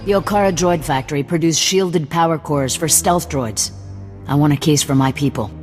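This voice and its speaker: Kemish, a man voicing a gruff, menacing rebel boss. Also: fairly high pitch, moderate pace, faint music behind, natural voice. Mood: hostile. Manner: gruff, threatening, curt. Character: hard, distrustful male rebel leader with cybernetics. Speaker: resistance cell leader. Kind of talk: video game cutscene acting.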